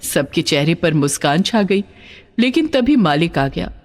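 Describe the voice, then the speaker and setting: A woman reading a scripted story as narrator, with a dramatic, expressive delivery. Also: fairly low pitch, a little slow.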